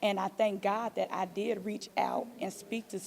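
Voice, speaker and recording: A woman's voice: mid-range voice, steady tone, moderate pace, gravelly, a little mellow. A woman actor giving reflective interview answers in an earnest, encouraging way. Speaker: stage play actor being interviewed.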